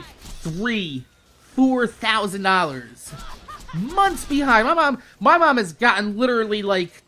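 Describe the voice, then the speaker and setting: A young American man hosts a casual venting video in a bright, forceful voice. His pitch swings widely as he complains in an exasperated way, leaning on profanity and repeating words for emphasis. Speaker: YouTube host. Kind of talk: casual storytime rant.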